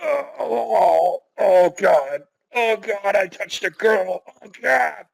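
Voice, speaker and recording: A man's voice: high voice, slow pace, vocal fry, slightly rough, pitched above the performer's own voice, full character voice. A man voicing an army guard who is officious and easily flustered around women. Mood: panicked.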